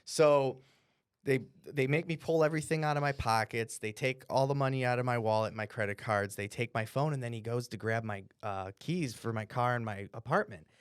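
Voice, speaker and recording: Deep-voiced American man telling stories. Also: fairly quick, smooth, no echo, studio quality. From Cleveland, Ohio, United States. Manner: animated, profane, bro-like slang. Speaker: podcast co-host. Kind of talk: casual storytelling.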